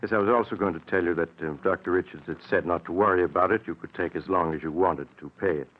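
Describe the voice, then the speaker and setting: An actor playing a grave, formal and hesitant doctor in a 1940s-style radio drama. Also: male voice, low-pitched, quick pace. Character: grave, hesitant doctor breaking bad news. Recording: muffled vintage radio recording.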